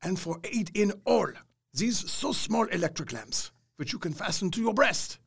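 Husky, creaky, commanding old professor. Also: male voice, high-pitched, animated, a bit bright, full character voice. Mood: solemn, urgent. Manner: solemn, deliberate, formal phrasing. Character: grave, commanding older male professor leading vampire hunters. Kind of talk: dramatic audio drama acting.

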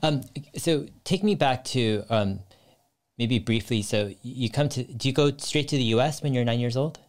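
A man hosting a podcast interview, speaking in a measured way and often giving short listening sounds. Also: mid-range voice. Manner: measured, gives frequent short backchannels. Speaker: podcast host.

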